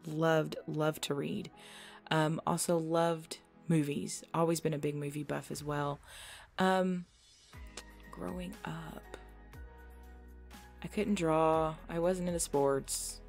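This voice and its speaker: Young woman just chatting, lowish creaky voice, self-deprecating, music playing behind her. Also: steady tone, slow, pauses often, a little mellow. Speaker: audio creator answering listener questions. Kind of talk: casual Q&A chat. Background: background music.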